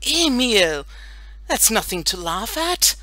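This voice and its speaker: A woman's voice: a little high, sing-song, takes it slowly, bright, forceful tone, no echo, voice pushed up, heavily acted, low-quality recording. A woman voicing a stern but caring farm mother, firm and scolding, in a children's audiobook.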